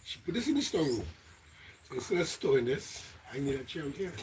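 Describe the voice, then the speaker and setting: A man's voice: fairly high pitch, big pitch swings, a little fast, darkish tone, slight background noise. A man with a Caribbean accent chatting casually with his neighbor. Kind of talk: casual chat.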